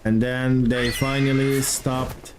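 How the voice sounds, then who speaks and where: A man from Turkey with a thick Turkish accent, chatting with viewers during a live game stream in halting non-native English. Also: medium pitch, little pitch movement, noisy background. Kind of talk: live stream chat while playing.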